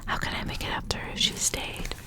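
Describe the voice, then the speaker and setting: A woman speaking in a soft, breathy whisper, hushed like an ASMR reader sharing a story. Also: quick pace. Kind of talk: whispered ASMR story reading with casual asides.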